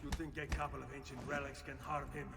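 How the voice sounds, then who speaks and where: A man's voice: medium pitch, monotone, fast, creaky, very noisy, close to an everyday voice. An actor playing a vengeful, resolute young male assassin in a video game, grave and defiant. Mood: sceptical, challenging. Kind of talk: dramatic video game acting. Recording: dramatic music behind the voice.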